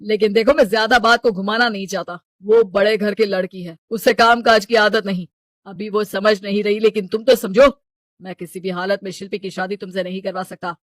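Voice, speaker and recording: A man's voice: high voice, steady tone, a little fast, fairly bright tone, no room sound. Male actor as middle-aged rich father in an audio story, scolding, bossy, close dry recording. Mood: firm. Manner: domineering, scolding.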